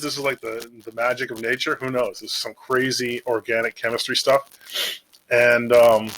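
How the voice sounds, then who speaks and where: Guy rambling into a mic, sharp bright voice, slangy and off on tangents, bit of noise and clicking behind him. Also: medium pitch, rough recording. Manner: informal, slangy, digressive. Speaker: video creator. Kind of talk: casual rambling monologue about game monsters. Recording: noticeable background noise with clicks.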